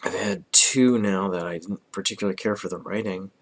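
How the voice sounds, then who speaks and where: Hoarse man with a cold, deep voice. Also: fairly quick. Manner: rambling, frequent restarts and throat clearing. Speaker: vlogger reviewing comics. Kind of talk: casual review.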